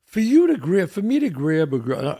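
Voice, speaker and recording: A man's voice: high-pitched, sing-song, brisk, dark tone. An older man hosting a casual talk show, blunt and emphatic, swearing freely. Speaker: podcast host. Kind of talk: casual chat.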